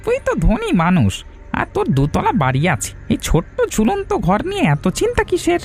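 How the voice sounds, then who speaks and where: A jittery village sidekick man in a cartoon. The voice is high and scratchy with fry, leaping about in excitable, cowardly fashion. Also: a little fast, soft background music, heavily acted. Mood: doubtful, wary. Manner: excitable, nervous. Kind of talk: Bangla moral-story cartoon dubbing.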